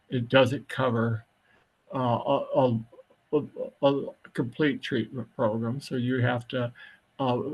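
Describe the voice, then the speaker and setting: A man, a high school counselor, giving panel testimony on a video call, speaking haltingly with many fillers. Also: creaky, rough recording. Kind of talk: panel testimony at a web briefing.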